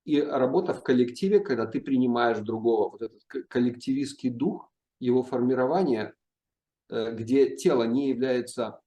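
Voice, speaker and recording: A middle-aged man with a mellow, warm tone and an average pitch. He answers interview questions thoughtfully and carefully, often pausing and hesitating mid-sentence. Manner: measured, with frequent pauses and hesitations. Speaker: psychiatry professor, interview guest.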